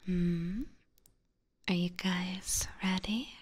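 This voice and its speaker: A woman's voice: fairly low pitch, fairly even intonation, extremely slow. A woman streaming whispered ASMR roleplay in a playful, teasing, coy manner, close to a binaural microphone. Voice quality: breathy, whispery. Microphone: close binaural ear microphone.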